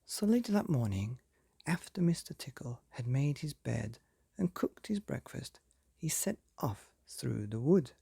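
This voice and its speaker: Gravelly, husky man reading a bedtime story. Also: mid-range voice, sing-song, warm tone, dry recording. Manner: deliberate, dramatic pauses, addresses young listeners. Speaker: storyteller, podcast reader.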